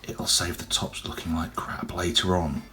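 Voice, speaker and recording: A young man with a cold, his voice deep and gruff, chatting casually and rambling as he unboxes and reviews a toy. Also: steady tone, normal speed, background noise. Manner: rambling, chatty, frequent ums. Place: indoors at a table, off camera.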